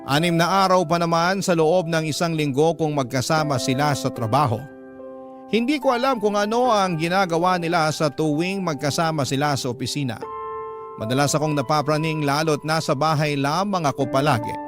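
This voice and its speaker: A man playing a jealous, insecure spouse, narrating a troubled love story in an emotive, deliberate radio drama style. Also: on the high side, lightly acted. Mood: anxious, confessional. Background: music under the voice.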